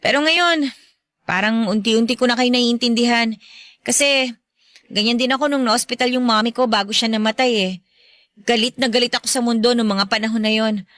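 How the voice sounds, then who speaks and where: A woman's voice: on the high side, fairly quick, a bit bright, no room sound, lightly acted. A woman playing an earnest, forgiving young stepdaughter in a Filipino radio drama, with a Filipino accent. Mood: sympathetic.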